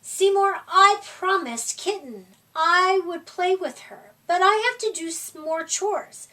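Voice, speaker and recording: A woman voicing an eager young male cat from a picture book, with an excited storybook delivery. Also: extremely high pitch, takes it slowly, voice pushed up, exaggerated voice. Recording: voice from far off the mic.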